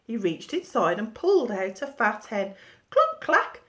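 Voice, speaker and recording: A woman teacher reading a children's storybook aloud in an expressive storytelling manner. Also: mid-range voice, moderate pace.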